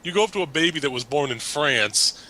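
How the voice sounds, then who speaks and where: An American man co-hosting a casual podcast chat, teasing his co-host with quick, pedantic quips. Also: fairly high pitch, expressive, bright, forceful tone, poor audio. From United States.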